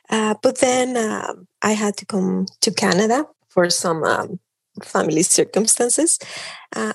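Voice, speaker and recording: A woman's voice: mid-range voice, vocal fry, husky, no room sound. A middle-aged woman from Mexico with a Mexican Spanish accent, earnestly explaining her work as an image consultant in an interview, with frequent restarts. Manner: earnest, many restarts and "like" fillers. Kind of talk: casual interview talk.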